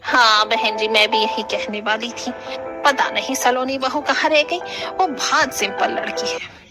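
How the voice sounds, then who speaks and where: A woman's voice: a little high, steady tone, measured pace, over music. A woman playing a gossipy, critical middle-aged auntie in a family cartoon. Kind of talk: Hindi cartoon family drama dialogue.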